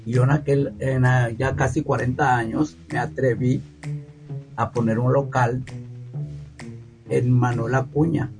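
A man's voice: medium pitch, level tone, a little slow, long pauses, over music. A middle-aged man with a southern Mexican accent from the Guerrero coast, telling his story casually as an interview guest and acting out remembered quotes expressively. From Costa Chica, Guerrero, Mexico.

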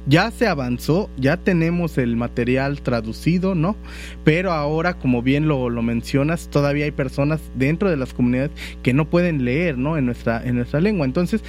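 A man hosts an interview, speaking in a measured, somewhat high voice. He pauses on fillers now and then as he sets up his question. Faint music sits underneath. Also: a little mellow. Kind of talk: conversational interview.

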